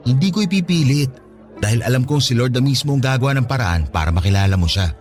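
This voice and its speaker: An actor plays an easygoing young man whose mid-pitched voice moves up and down animatedly. He is patient and gently teasing, in conversational radio drama style, over quiet music. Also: quick pace, lightly acted. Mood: warm, assured. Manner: good-natured, animated, gently persuasive. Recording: studio dialogue over faint music.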